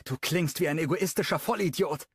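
A man's voice: fairly quick, creaky, breathy edge, dry recording, studio quality. A man voicing a fiery young woman. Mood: angry. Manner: pitch raised high into a woman's register. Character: fiery young woman, sharp-tongued and stubborn.

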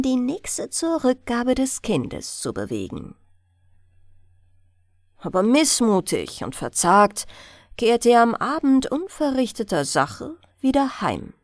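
A woman reading a fairy tale as a bedtime audiobook, in an expressive storytelling manner. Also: medium pitch, no echo, clean studio sound. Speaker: audiobook reader.

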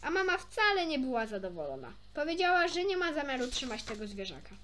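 High-pitched, lively young woman reading aloud. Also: fast talker, slight background noise. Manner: informal, stumbles over words and self-corrects. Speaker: amateur storybook reader. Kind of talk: expressive read-aloud of a children's story with chatty asides.

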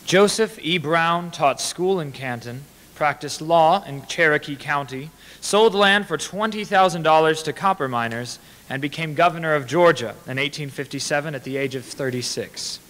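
A man's voice: a little high, normal speed, a bit bright. A young man giving a formal, declamatory dramatic reading of a historical speech at a podium microphone. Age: young adult. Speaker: reader at a staged dramatic reading. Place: large indoor hall with small audience. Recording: echoey hall, podium microphone.

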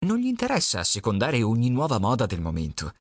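A man reading a scripted narration that presents an audiobook, in a measured, steady way. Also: medium pitch, dry recording.

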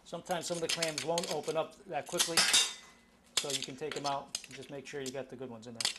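A man's voice: a little high, fairly even intonation, fast talker, heavy background noise. A middle-aged man hosting a home cooking show, demonstrating a dish in his kitchen with enthusiasm and plenty of fillers. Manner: enthusiastic, talks while cooking, many fillers.

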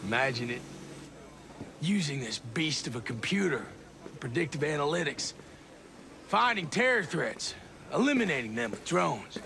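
A man playing a tough, confident American special forces soldier in a video game, talking casually with measured military pauses. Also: a little high, big pitch swings, vocal fry, sharp tone, a little hiss or hum, exaggerated voice. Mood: cool, persuasive. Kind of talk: video game cutscene acting.

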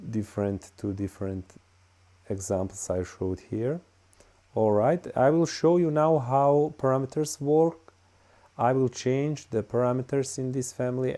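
A man with a Bosnian accent, whose native tongue is Bosnian, walks through a software tutorial in English, pausing often with "uh" and "all right". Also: on the low side. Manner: halting, many "uh" and "all right" fillers. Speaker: tutorial host. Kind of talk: screen-recorded software tutorial.

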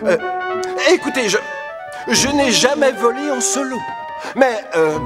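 Cheeky cartoon sleigh, extremely high, swooping, long reverb, faint music. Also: male voice, animated, unhurried. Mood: reluctant. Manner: familiar, protesting. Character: cheeky, hesitant talking magic sleigh. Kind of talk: animated cartoon dialogue.

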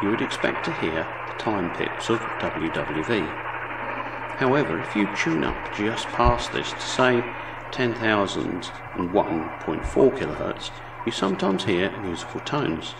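Calm low-voiced man narrating from afar. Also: far from the mic, noisy background. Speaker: radio hobbyist video host. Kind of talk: scripted voice-over narration.

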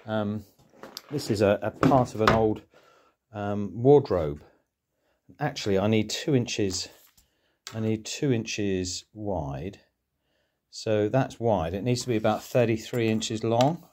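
A man's voice: lowish voice, slow pace, pauses often, a little mellow, a little hiss or hum. A middle-aged man chatting casually as he works outdoors on a DIY project, explaining each step with ums and restarts, recorded on an action camera. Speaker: vlogger doing home DIY. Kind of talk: casual narration while working.

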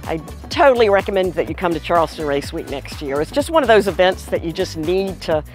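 A woman sailor speaking in an interview in a lively way. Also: on the low side, fairly quick. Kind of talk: interview answer. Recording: music bed behind.

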